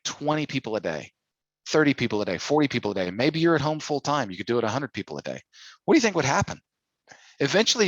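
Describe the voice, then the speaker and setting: A man's voice: a little high, fairly bright tone, no room sound, rough recording. Dry-sounding man coaching sales. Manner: persuasive, full of rhetorical questions. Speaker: host of a sales training call. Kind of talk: informal sales coaching talk.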